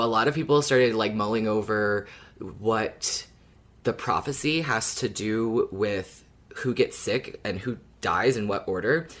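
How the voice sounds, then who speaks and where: Male podcast co-host, lower-pitched voice, thoughtful and explanatory, casual chat. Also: fairly bright tone. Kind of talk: casual podcast discussion of a fiction show.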